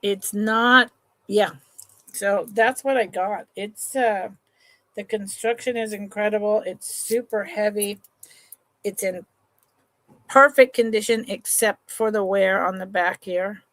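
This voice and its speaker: Slow, relaxed woman hosting a live sale. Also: mid-range voice, dry recording. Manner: chatty, unhurried, trails off and restarts sentences; affectionate to viewers, calls them honey and sweetheart. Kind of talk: slow, relaxed live-stream sales chat.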